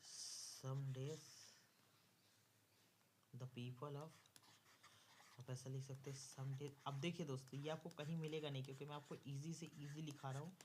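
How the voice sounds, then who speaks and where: A man's voice: medium pitch, level tone, unhurried, long pauses, a little mellow, a little hiss or hum. A man with an Indian accent teaching an exam lesson, dictating step by step and repeating phrases word by word as he writes. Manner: instructive, repeats phrases word by word while writing.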